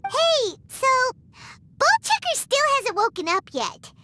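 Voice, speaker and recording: Squeaky tiny fairy girl. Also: very animated, slow pace, pushed and bright, slight background noise, exaggerated voice. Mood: cheeky, curious. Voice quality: squeaky, childlike. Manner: speaks of herself in third person, exclamatory. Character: Paimon, tiny childlike floating fairy girl companion, cheeky. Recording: music behind the voice.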